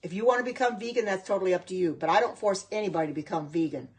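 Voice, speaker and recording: A chatty middle-aged American woman talks her way through a home livestream in her kitchen, her voice sitting at an ordinary middle pitch. She rambles, loops back on herself and stops to answer viewers' comments as they come in. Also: racing, darkish tone, husky. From United States. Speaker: home cook and vegan livestreamer. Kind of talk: casual livestream chat with viewers.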